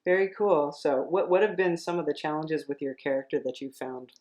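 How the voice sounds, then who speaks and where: A woman's voice: low-pitched, brisk, a little mellow. A woman hosting a podcast, speaking in a casual, chatty style. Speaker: podcast host and writer.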